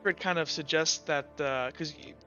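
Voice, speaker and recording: A man's voice: on the high side, normal speed, bright, forceful tone. A man improvising narration as game master of a tabletop roleplaying game, casual and hesitant, with lots of filler words. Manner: casual, hesitant, loosely improvised delivery with frequent "like" and "kinda". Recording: dull lo-fi audio with music behind. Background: background music.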